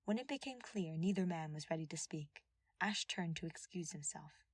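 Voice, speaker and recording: A woman narrates dramatic fiction in a scripted audiobook style. Her voice is husky and sits a little low, recorded very dry. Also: lively intonation, moderate pace, no echo.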